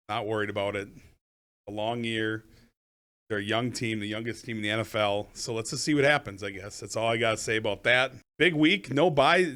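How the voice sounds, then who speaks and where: A man co-hosting a sports betting podcast with a voice a bit off from a lingering cough, talking plainly with many pauses. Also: medium pitch, fairly bright tone. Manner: plainspoken, hesitant fillers. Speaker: podcast co-host. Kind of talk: casual sports betting chat.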